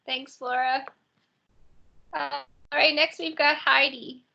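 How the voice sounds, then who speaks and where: High bright woman on muffled call. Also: fairly even intonation, gravelly. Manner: brisk roll-call introductions. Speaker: orientation host. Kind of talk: online orientation session. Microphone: video call. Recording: muffled video call audio.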